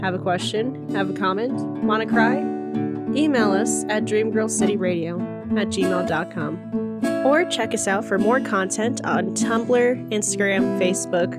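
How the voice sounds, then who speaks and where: A woman's voice: mid-range voice, unhurried. A woman giving a scripted podcast outro as the credits announcer. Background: loud plucked guitar music.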